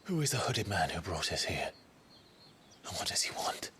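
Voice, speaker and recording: Very deep, brooding young warrior. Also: male voice, sing-song, bright, forceful tone, slight background noise, full character voice. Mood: remorseful, resolute. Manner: solemn, brooding, deliberate. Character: grim, remorseful young warrior with hidden fire power. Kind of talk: dramatic video game cutscene acting.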